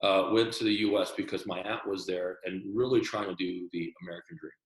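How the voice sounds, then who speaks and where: A man's voice: low voice, steady tone, fairly quick, roomy sound, low-quality recording. A man born in Taiwan, a podcast guest, telling his story earnestly in a casual interview and often repeating words as he starts sentences.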